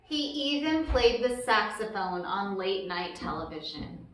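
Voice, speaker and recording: A woman reading a children's picture book aloud as a storyteller, with deliberate, emphatic phrasing and brief asides. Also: medium pitch, big pitch swings, fairly bright tone. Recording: very echoey room, distant mic, noisy background.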